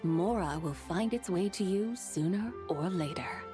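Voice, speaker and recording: A woman's voice: on the low side, lively intonation, a little slow, over music. An actress playing a serene, gracious otherworldly lady in a video game, speaking in formal, courtly language. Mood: serene, admiring. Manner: formal, eloquent, poised. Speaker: game voice actor. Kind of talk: poetic, courtly game character acting.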